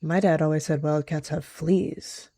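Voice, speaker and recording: Woman playing a sullen, mellow rogue cat. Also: sits low, steady tone, unhurried. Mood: amused. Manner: mumbling, offhand. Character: sly, guarded, sullen injured rogue cat.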